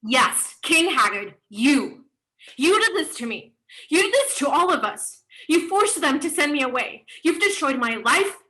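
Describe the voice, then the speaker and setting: Anguished stage heroine, played by a woman, high bright voice, forceful and accusing. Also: very animated, unhurried, full character voice, low-quality recording. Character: anguished, accusing female author confronting a tyrant. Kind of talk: dramatic table read of a stage play.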